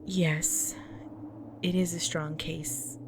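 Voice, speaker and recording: A woman playing a grave, devoted vampire wife in an intimate audio roleplay, speaking solemnly to the listener in an often breathy, whispered delivery. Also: on the low side, level tone, very slow, background noise. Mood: solemn, resolute.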